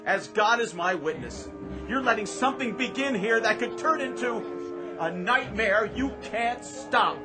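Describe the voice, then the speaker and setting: Radio drama: indignant accused husband, desperate and biting, swinging widely in pitch. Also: male voice, animated, measured pace. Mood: furious. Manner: emphatic, sarcastic, exasperated. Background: background music.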